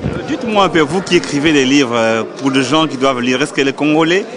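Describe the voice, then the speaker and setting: A man's voice: fairly high pitch, fairly quick. Male interviewer with a noisy crowd. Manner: direct, probing questions. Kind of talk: event interview questions. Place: indoor book fair hall. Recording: busy crowd chatter behind.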